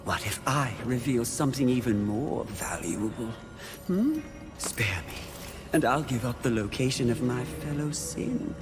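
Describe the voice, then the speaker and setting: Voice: medium pitch, sing-song, a little slow. An actor playing a seductive, scheming sin who taunts in a silky, sneering way over game music. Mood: taunting. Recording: cinematic game audio with music.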